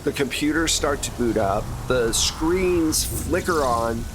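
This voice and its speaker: A man's voice: medium pitch, lively intonation, takes it slowly, noisy background. A man running a tabletop roleplaying game, improvising descriptive narration in a conversational way. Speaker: game master.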